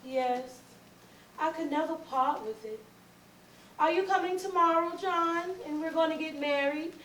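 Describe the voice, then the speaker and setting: Stage drama: stubborn, suspicious mother in Southern dialect played by a woman, very high voice, noisy and echoey. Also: unhurried, a bit bright, distant mic. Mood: wistful, coaxing. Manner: folksy, colloquial, wavering between coaxing and fury. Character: Emma, stubborn, suspicious mother who stalls and rages. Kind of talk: staged readers theatre, Southern period dialect.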